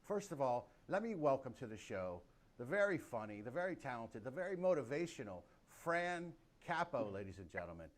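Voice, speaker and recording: An older man hosts a comedy talk show over a video call, his voice sitting at an ordinary middle pitch. He brings guests on with upbeat, emphatic introductions. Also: very animated, a little fast.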